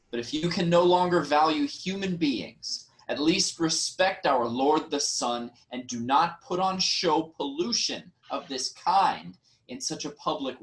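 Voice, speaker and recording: Man playing a slow, measured royal statesman. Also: a little high. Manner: formal, deliberate. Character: composed, authoritative male royal, measured and pragmatic. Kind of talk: theatrical Greek tragedy reading.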